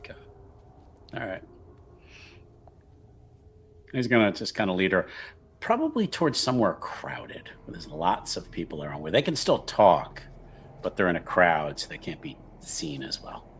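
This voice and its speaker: A man playing a tabletop roleplaying game, casually improvising what his character does in a halting, thinking-aloud way. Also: low voice, huge pitch swings, fairly bright tone, slight background noise.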